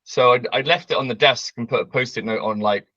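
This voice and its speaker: A man, telling a story as a guest on a video call, loud and brash, laughing often. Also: medium pitch, brisk, dry recording, muffled recording. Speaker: interview guest. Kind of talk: casual storytelling. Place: bright home room with large windows.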